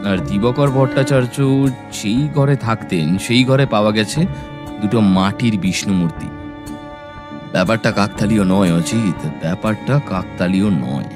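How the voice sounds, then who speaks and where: A man acting a sharp, resolute detective in an audio drama, speaking firmly and deliberately as he reasons aloud. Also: lowish voice, close to an everyday voice. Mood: intent. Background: background music.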